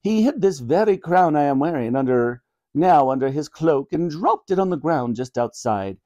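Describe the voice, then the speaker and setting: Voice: mid-range voice, expressive, moderate pace, mellow, natural voice. A reader voicing a stately elderly Queen in clipped, formal tones for a children's storybook. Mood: wryly amused.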